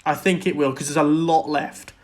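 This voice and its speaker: A man's voice: a little high, fairly even intonation, brisk, darkish tone. A man co-hosting a podcast in a casual, conversational discussion of pop culture. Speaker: podcast co-host.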